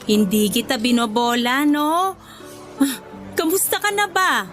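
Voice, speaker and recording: A woman's voice: high-pitched, animated, unhurried, a bit bright, soft background music. An actress playing an affectionate, motherly older former nanny in a Filipino radio drama, with a Filipino accent. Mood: delighted, fond.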